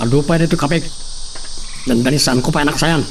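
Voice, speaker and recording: A man playing a nervous, scheming manservant in a radio drama, with a gravelly voice. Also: on the high side, steady tone, fast, distant mic, slight background noise, close to an everyday voice. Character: scheming male servant to the young master.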